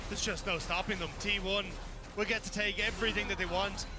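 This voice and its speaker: A man's voice: sits high, steady tone, brisk, over music. A man giving live analytical commentary on an esports match, explaining how the fights play out.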